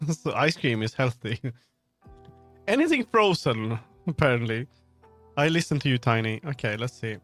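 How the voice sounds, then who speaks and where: A man's voice: medium pitch, very animated, a little slow, pauses often, a bit bright. A man chatting casually on a livestream while he works, rambling, thinking aloud and often trailing off mid-sentence. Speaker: livestreamer, game developer. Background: background music.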